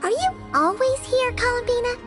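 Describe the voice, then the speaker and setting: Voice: squeaky, very high-pitched childlike fairy companion. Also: female voice, a little fast. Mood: bright, chatty. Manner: chatty, expressive, refers to self in third person. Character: tiny childlike fairy companion, chatty, excitable, protective. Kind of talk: video game voice acting. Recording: loud background music.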